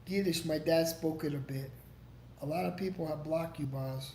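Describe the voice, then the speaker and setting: A man's voice: fairly high pitch, normal speed, warm tone. A man hosting a casual livestream, answering viewer comments in a rambling, profane way with lots of restarts. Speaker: livestream host. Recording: echoey room with faint background noise.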